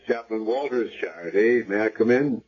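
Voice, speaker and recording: An actor playing a calm, probing hospital chaplain with a measured, matter-of-fact manner in radio drama style. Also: male voice, lowish voice, soft background music, natural voice. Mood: calm, kindly. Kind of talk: 1970s radio drama acting. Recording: dull vintage radio recording.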